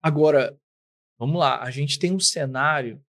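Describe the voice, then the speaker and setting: A man's voice: a little high, a little slow, fairly bright tone, dry recording, studio quality. A man hosting a business podcast, giving short nods and asking long, careful questions. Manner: attentive, short affirmations, long framed questions. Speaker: interview host. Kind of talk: podcast interview.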